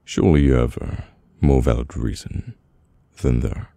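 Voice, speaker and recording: A man with a British accent playing a brooding, guarded mafia boss in an intimate, halting, murmured ASMR roleplay. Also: extremely low pitch, lively intonation, vocal fry, mellow, no echo, exaggerated voice. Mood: awkward, caring.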